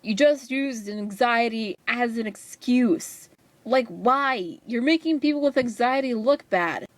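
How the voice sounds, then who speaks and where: A woman's voice: fairly high pitch, measured pace, no room sound, low-quality recording. A woman giving casual rant commentary as a video maker, with a sarcastic, scolding way of talking.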